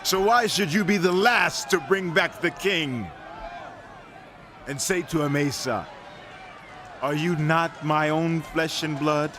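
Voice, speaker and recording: A man's voice: a little high, takes it slowly, long pauses, a crowd in the background, noisy background. An actor playing a firm yet merciful king, speaking with declamatory authority in a dramatized audio Bible. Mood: rousing, earnest.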